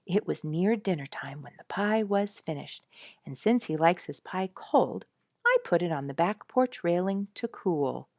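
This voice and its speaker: A woman's voice: on the low side, animated, unhurried, a little mellow, no room sound. A woman voicing a wry, playfully long-suffering mother in a storybook, teasing and mock-grumbling with emphasis. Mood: animated recounting.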